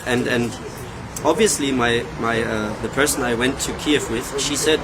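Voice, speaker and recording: A man's voice: medium pitch, moderate pace. A man, speaking thoughtfully and searching for words as he gives personal testimony in an interview. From Germany. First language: German. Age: 30s. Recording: loud crowd noise outdoors.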